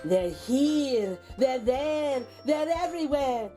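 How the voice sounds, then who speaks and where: A woman with a high-pitched voice hosts a children's read-aloud. She speaks slowly and deliberately in an expressive, theatrical storytelling style, with music behind her. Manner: theatrical, deliberate, dramatic pauses.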